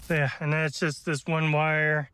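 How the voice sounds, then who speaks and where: A man's voice: a little high, level tone, unhurried, sharp tone, smooth. A man giving a casual hands-on how-to, talking informally and thinking aloud as he goes. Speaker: host demonstrating a vehicle radio installation. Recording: noisy car and outdoor ambience.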